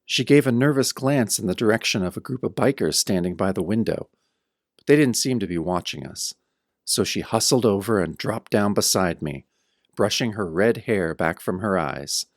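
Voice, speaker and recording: Man acting a wry hard-boiled hero, low voice. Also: lively intonation, normal speed, dry recording, studio quality. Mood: determined, wry. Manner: wry, hard-boiled first-person storytelling. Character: wry, battered tough-guy hero narrating his own pulp horror tale. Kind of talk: modern old-radio-style audio drama narration.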